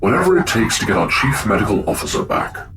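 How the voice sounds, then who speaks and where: Guy as a bossy robot leader, deep but swoopy voice, loud noise behind him, audio drama. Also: big pitch swings, fast talker. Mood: briefing. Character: imperious, gruff male robot leader of a new government. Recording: loud background ambience noise.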